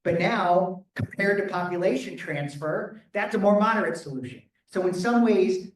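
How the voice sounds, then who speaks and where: A man's voice: high-pitched, moderate pace, slight background noise. A man, a rabbi, answering audience questions in a lecture and thinking aloud as he goes. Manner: thoughtful, thinking aloud with restarts. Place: large echoey hall. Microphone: microphone failing, speaking loud from a distance. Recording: echoey hall, voice from far off.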